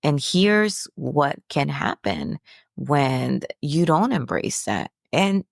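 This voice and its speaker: Slow, gravelly-voiced woman podcaster. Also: low-pitched, very animated, no room sound, clean studio sound. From Dominican Republic. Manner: earnest, preachy, asks rhetorical questions. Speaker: podcast host. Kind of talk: personal reflective monologue.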